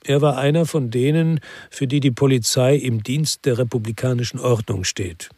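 A man's voice: mid-range voice, moderate pace, darkish tone, no echo, natural voice. An actor playing a world-weary ex-policeman who narrates a radio crime drama in a brooding, reflective way.

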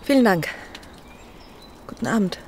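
A woman's voice: medium pitch, big pitch swings, a little slow, slight background noise. An actress playing a polite, reserved young aristocratic lady in a radio drama, restrained and a little hesitant. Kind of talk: naturalistic radio drama acting. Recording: music underneath the voice. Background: music.

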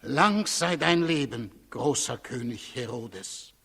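A croaky, obsequious high priest. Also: male voice, animated, sharp tone, heavily acted. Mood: deferential. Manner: deferential, solemn, ceremonious, halting. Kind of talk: 1960s German radio play acting. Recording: 1960s radio recording, reverberant hall.

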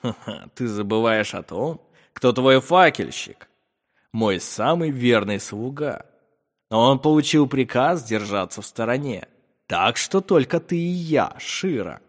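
A reader voicing a sly evil male spirit who speaks in a mocking, unhurried, commanding way, in an audiobook. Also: medium pitch, animated, big-room sound. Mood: gloating menace.

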